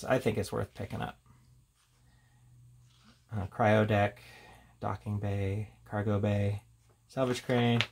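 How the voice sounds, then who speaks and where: A man's voice: lowish voice, gravelly, slightly rough. A man giving a casual, unscripted, rambling commentary as a tabletop game reviewer, reading out headings between offhand remarks.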